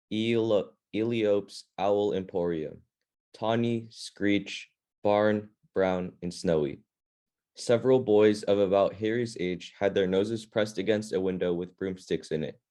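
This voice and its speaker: A man's voice: on the low side, fairly even intonation, measured pace, dark tone. A man leading a reading club, reading a children's fantasy novel aloud in a plain, informal way.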